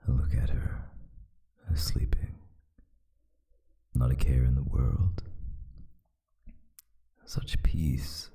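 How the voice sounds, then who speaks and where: Man as a very deep, whispering vampire lover. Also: fairly even intonation, dark tone, exaggerated voice. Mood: bitter self-reproach. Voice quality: breathy, whispery, gravelly. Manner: slow, intimate, murmuring with long pauses. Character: brooding, lovesick male vampire boyfriend, tender and remorseful. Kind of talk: whispered ASMR boyfriend roleplay.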